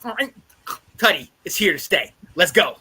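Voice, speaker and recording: A man hosting a casual football podcast, stuffed up, energetic and laughing often. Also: high-pitched, measured pace, breathy edge. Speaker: podcast host. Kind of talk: casual sports podcast chat.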